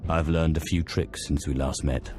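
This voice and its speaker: A self-assured young Sith, dry and measured. His extremely deep, terse game-hero lines come with music clearly behind. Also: fairly even intonation, darkish tone. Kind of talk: video game voice acting.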